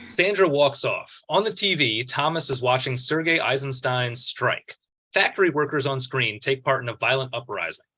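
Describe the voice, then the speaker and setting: A man's voice: mid-range voice, normal speed, pushed and bright. A man reading screenplay stage directions as narrator at a table read.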